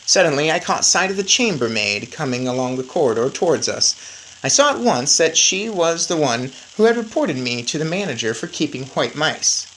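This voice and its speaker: A woman reading a children's story aloud in an expressive narrating style. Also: low voice, moderate pace, slight background noise, low-quality recording. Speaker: story reader.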